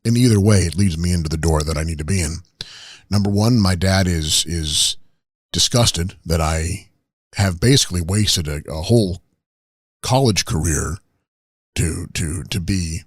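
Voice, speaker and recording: A crude, smug old business mogul, as a man puts him on, rambling in a very low, husky voice full of stammered restarts. He sounds close and dry, with no room around him. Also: moderate pace, no room sound. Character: crude arrogant retired wrestling tycoon, older man. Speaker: comedy podcast guest character. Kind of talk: improvised comedy podcast.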